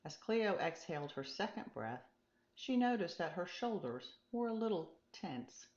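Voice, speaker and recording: A woman's voice: on the low side, very animated, unhurried, darkish tone, reverberant, muffled recording. A woman reading a scripted story aloud as a storybook narrator.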